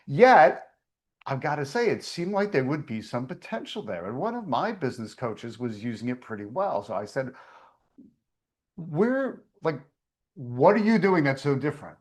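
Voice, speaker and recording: A man's voice: medium pitch, sing-song, moderate pace. A man hosting an online meeting, presenting in a chatty, storytelling way.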